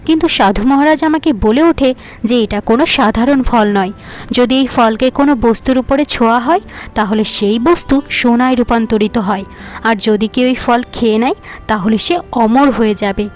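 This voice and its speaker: Cartoon casting: clever young niece, played by a woman, earnest and persuasive, high pitch, light music. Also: moderate pace, close to an everyday voice.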